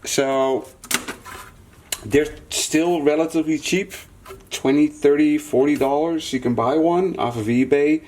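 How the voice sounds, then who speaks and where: A man's voice: on the high side, steady tone, a little slow, a little mellow, slight background noise. A man giving a casual, unscripted hands-on repair demonstration, thinking aloud as he works as the host. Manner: muttering to himself while working, thinking aloud. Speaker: repair technician and channel host.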